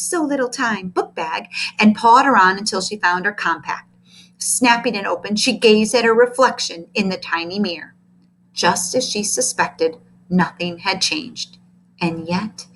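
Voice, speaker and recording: Woman narrator, children's read-aloud, expressive, deliberate, medium pitch. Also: a little hiss or hum, poor audio. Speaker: storybook reader.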